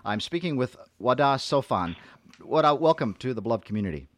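A man hosting a radio interview program, speaking in a measured way with frequent hesitant fillers. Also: medium pitch, lively intonation, a bit bright, dry recording, clean studio sound. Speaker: radio host. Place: radio studio.

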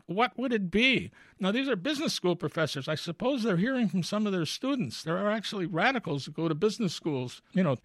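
A man's voice: sits high, fairly bright tone, dry recording. Man hosting a radio talk show. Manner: conversational, rising emphatic when quoting. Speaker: radio host. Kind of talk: radio interview.

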